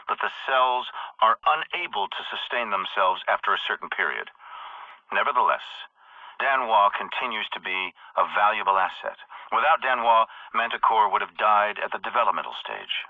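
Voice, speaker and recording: An actor playing a ruthless middle-aged military company chief, speaking in measured, grandiose, coldly philosophical tones in a video game audio log. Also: male voice, fairly low pitch, expressive, dry recording. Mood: brooding. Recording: tinny radio-filtered message.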